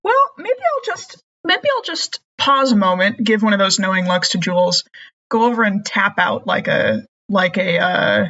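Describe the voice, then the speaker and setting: A woman playing a tabletop game, chatty and quick to laugh. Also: mid-range voice, big pitch swings, a bit bright, highs cut off. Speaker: player. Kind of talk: casual play chat.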